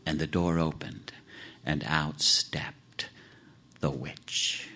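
A man telling a fairy tale theatrically, with dramatic, deliberate phrasing and pauses. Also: very deep voice, fairly even intonation, pushed and bright, slight background noise. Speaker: storyteller.

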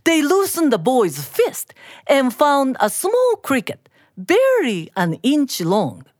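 Lady w telling a folktale, takes it slow, really dry close sound, dramatic. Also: sits high, dry recording. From Japan. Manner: expressive, deliberate, dramatic. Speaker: storyteller. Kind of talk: live oral storytelling of a folktale.

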